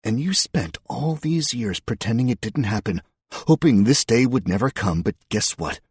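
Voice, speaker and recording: Man voicing a hard, sardonic female detective, husky. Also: mid-range voice, lively intonation, normal speed, dry recording, pitched above the performer's own voice. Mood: cold, relentless. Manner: sardonic, confrontational. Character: hard, scornful female police detective, coldly relentless.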